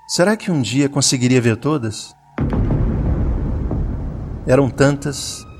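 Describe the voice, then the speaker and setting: A man with a Brazilian accent narrating a scripted science fiction audio drama. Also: medium pitch.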